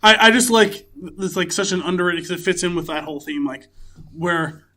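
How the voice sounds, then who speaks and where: A man's voice: high-pitched, fairly quick, sharp tone. A man co-hosting a podcast, talking casually and giving his opinions on films. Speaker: podcast co-host. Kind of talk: casual film chat.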